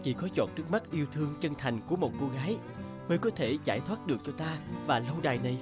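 Cartoon fairy-tale beast, played by a man: large, courtly, reassuring, booming voice, music clearly behind. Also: lively intonation, quick pace, close to an everyday voice. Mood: grateful. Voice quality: booming, low gruff tone. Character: large gentle male beast, a cursed prince.

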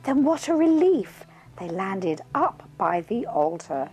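Animated, unhurried woman reading a storybook. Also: medium pitch, soft background music. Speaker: children's author reading own book. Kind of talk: expressive read-aloud storytelling in rhyming verse.

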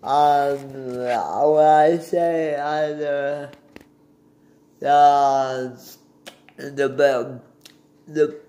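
Slow, halting young man, higher-pitched voice. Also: fairly even intonation, mellow. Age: young adult. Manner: halting, searching for words. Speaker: sports vlogger. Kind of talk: casual postgame basketball recap. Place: small indoor room at home. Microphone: phone at arm's length.

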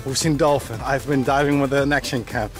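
A man's voice: mid-range voice, steady tone, fairly quick. A Dutch man in his thirties with a Dutch accent, chatting enthusiastically to a handheld action camera outdoors by the sea. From Netherlands. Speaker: vlogger. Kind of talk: casual travel vlog. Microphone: action camera held at arm's length. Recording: music playing underneath.

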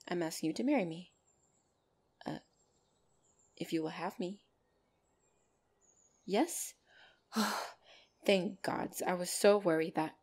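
A woman's voice: fairly low pitch, a little mellow, slightly rough. A woman playing a loving, bashful and protective orc girlfriend in an intimate ASMR roleplay, speaking tenderly and hesitantly. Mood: nervous, tender, then relieved, happy, teasing. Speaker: voice actor in audio roleplay.

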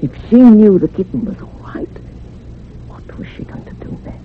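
A woman acts out an older country housekeeper in a radio play, superstitious and full of sorrow. Her speech is rustic and swings in pitch. It comes through a narrow, telephone-like recording with some noise. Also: animated, moderate pace, close to an everyday voice. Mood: troubled. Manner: rustic old-fashioned turns of phrase, confiding. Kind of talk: old-time radio drama acting. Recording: muffled phone-like radio recording with hum.

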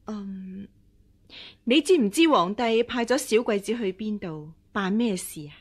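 An actress playing a scheming, regal empress dowager with a measured, dismissive manner, in Hong Kong Cantonese radio drama. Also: female voice, mid-range voice, animated, slightly rough. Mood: indulgent, probing. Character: haughty, scheming empress dowager, mother of a princess.

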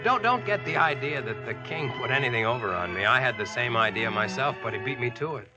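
A man's voice: mid-range voice, lively intonation, fast talker, over loud music, lightly acted. An actor playing a plainspoken young American man who tells his adventure in colloquial, earnest old radio style. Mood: contented. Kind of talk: 1940s radio drama first-person narration.

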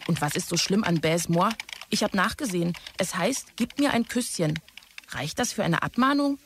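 A secretary amid clattering typing. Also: female voice, lowish voice, expressive, normal speed, fairly bright tone. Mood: businesslike. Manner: matter-of-fact office messages. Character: dutiful, literal-minded female office secretary. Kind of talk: audio drama acting. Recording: clear office typing noise behind.

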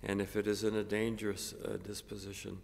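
An older man, a priest, reading a gospel and preaching a homily at a lectern microphone, measured and halting with many fillers. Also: lowish voice, monotone, vocal fry, darkish tone. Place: cathedral chapel, at a lectern. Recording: long reverb of a chapel.

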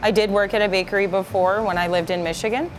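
A woman's voice: mid-range voice, fairly even intonation, quick pace, rough recording. Woman in her thirties, cookie decorator, friendly and modest, music in the cafe. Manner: friendly, laughing often, modest. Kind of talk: casual interview answers. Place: small cafe, indoors. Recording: cafe sound with music behind.

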